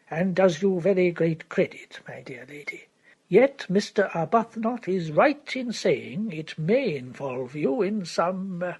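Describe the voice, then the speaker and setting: A fussy old male lawyer who weighs every word and stalls with "uh" between phrases, as a woman reads him. He talks very slowly, his tone cautious and proper. The voice carries a husky breathiness. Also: fairly low pitch, darkish tone, full character voice. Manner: slow, halting, full of "uh" pauses.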